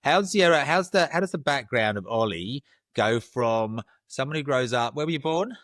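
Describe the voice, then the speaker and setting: A man's voice: medium pitch, lively intonation, normal speed, a bit bright, dry recording, studio quality. A middle-aged man hosting a casual interview podcast, enthusiastic and a little rambling, often restarting his sentences. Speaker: podcast host.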